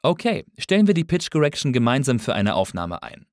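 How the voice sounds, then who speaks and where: A man narrating a scripted tutorial as an instructor, explaining things clearly and methodically. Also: mid-range voice, very animated, fairly quick, a little mellow, no room sound, studio quality. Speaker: audio engineering instructor.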